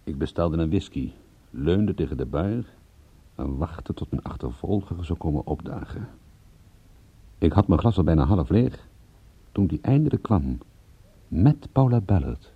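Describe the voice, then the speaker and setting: A wry, laconic private detective in a radio drama. His voice is very low and gravelly, with lively swings in intonation. The recording is dull and lo-fi. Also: a little slow, long pauses, exaggerated voice. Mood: dry narrating. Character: wry male private detective narrating his own case. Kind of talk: radio play acting with first-person narration.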